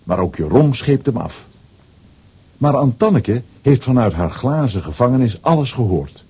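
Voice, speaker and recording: A man with a husky voice narrating a scripted children's audio story as its storyteller. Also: mid-range voice, sing-song, normal speed, dark tone.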